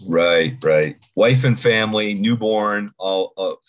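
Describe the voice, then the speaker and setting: A man in his forties from Boston, a podcast guest talking casually in a halting way, restarting and repeating words. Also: fairly low pitch. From Boston, United States. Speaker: podcast guest, security executive. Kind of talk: casual interview talk.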